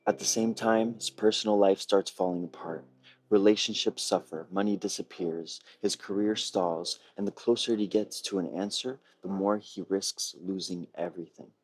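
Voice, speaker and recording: A man giving a prepared film pitch on a video call in a measured, earnest storytelling way. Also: a little mellow, no room sound. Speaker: filmmaker pitching a feature film. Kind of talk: prepared pitch presentation, read narration.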